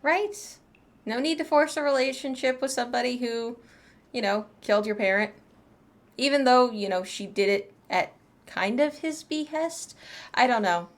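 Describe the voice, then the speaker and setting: A woman's voice: on the high side, normal speed. A woman game streamer giving chatty, informal live commentary on a story playthrough.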